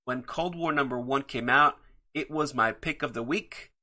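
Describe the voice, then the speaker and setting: A man's voice: mid-range voice, moderate pace, sharp tone. A man hosting his own podcast, talking enthusiastically and a bit rambly about his picks, with many fillers. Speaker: podcast host. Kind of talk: solo podcast comic book picks.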